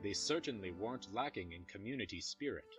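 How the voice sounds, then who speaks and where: A man's voice: lowish voice, expressive, fairly quick, over music. A man reading scripted third-person fantasy narration as the narrator of an audio drama.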